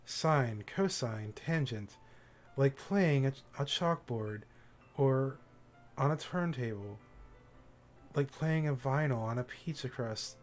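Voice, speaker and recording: A man's voice: medium pitch, slow pace. A man livestreaming a visual novel, casually commenting and reading the game text aloud, with game music behind him.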